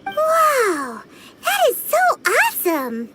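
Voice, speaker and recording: A woman voicing a tiny, childlike floating fairy companion in a video game, squeaky and chirpy. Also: wildly swooping pitch, takes it slowly, heavy background noise, exaggerated voice. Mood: amazed.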